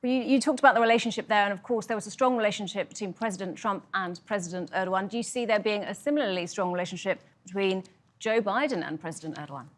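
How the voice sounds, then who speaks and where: Woman news anchor asking brisk questions. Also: mid-range voice. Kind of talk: live news interview.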